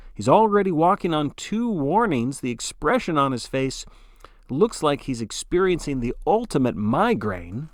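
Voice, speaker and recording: A man playing an excitable, fawning sports broadcaster in a dystopia, calling the action in a theatrical, grandiose manner. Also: medium pitch, no room sound, clean studio sound. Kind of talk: play-by-play sports commentary.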